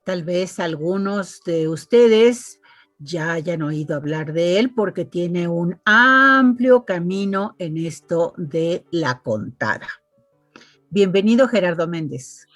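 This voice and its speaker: A woman's voice: lowish voice, lively intonation, takes it slowly, no room sound, soft background music. A woman hosting an online interview, chatty and reading a guest's résumé aloud with asides. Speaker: interviewer and host. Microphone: video call.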